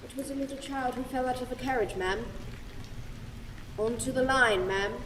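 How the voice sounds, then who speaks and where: Radio play: timid little errand boy played by a woman, high voice, deferential, strong hall echo, some noise. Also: normal speed, a bit bright, close to an everyday voice. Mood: hesitant. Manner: deferential, hesitant. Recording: distant, echoing hall with background noise.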